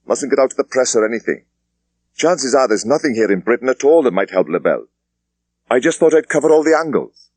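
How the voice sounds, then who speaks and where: A man's voice: mid-range voice, expressive, a little fast, darkish tone, dry recording, voice pushed up, natural voice. A man voicing a firm, businesslike British police superintendent with clipped speech in an audiobook. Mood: discreet, earnest.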